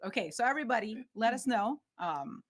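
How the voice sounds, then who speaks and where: A woman's voice: on the high side, lively intonation, a little fast. A woman co-hosting a live radio talk show, reading listener questions and comments aloud in a friendly, casual way.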